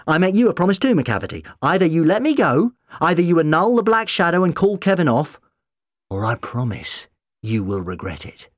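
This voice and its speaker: A man playing a quick-witted, defiant but kind doctor in an audiobook adventure, speaking emphatically. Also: medium pitch, big pitch swings, fairly bright tone, no echo, studio quality. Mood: firm, warning.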